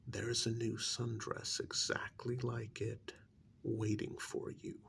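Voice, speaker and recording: Gravelly, half-whispering stern male covert-operation boss played by a man. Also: lowish voice, monotone, a bit bright, full character voice. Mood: stern instructing. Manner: deliberate, halting, menacingly emphatic, repeats words for weight. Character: stern, exasperated male handler running a covert containment operation. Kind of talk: soft-spoken ASMR role-play, half whispered.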